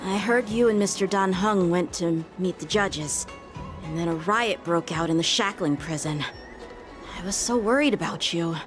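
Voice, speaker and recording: A woman's voice: fairly low pitch, normal speed, natural voice. Casting: woman as a teenage boy lieutenant for a fantasy game, earnest, dutiful, formal, music behind. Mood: relieved concern. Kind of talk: acted game story dialogue.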